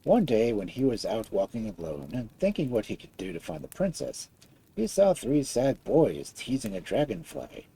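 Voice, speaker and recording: A storyteller reading a fairy tale aloud in an expressive style. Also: mid-range voice, moderate pace, vocal fry, husky, low-quality recording.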